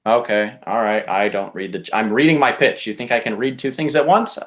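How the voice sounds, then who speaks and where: A man chatting casually and reading out pitches as a podcast contestant. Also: a little fast, fairly bright tone. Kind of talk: casual chat with read-out pitches.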